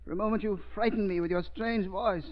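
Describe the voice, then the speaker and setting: A very high, thin and quavering elderly male villain, acted by a man. He is a frail, vengeful professor who stammers and repeats himself in agitation. The sound is a dull 1930s radio drama recording. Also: fairly even intonation, brisk, lightly acted. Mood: fearful, defiant. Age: older.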